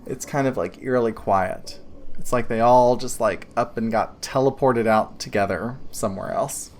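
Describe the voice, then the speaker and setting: A woman's voice: very deep voice, moderate pace, a little mellow. A woman narrating as game master of a tabletop game, describing scenes in a rambling way full of restarts and fillers.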